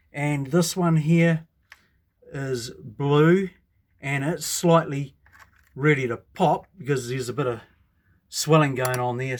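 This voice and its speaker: A man with a New Zealand accent giving a casual, hands-on show and tell, rambling and thinking aloud as he goes. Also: mid-range voice. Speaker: hobbyist radio reviewer. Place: indoors at a home workbench.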